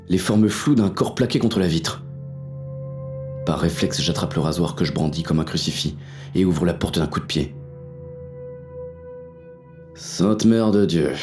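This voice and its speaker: An actor playing a hard-boiled, wary police inspector who narrates a noir audio drama in a gritty, weary manner. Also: male voice, low-pitched, a little mellow.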